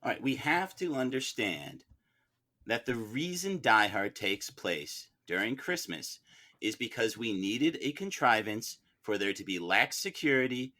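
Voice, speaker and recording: Man, mid-pitched, sharp bright voice, argumentative, emphatic repetition, mock defense lawyer. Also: big pitch swings, a little slow. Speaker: debater playing defense counsel. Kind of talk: mock courtroom film debate.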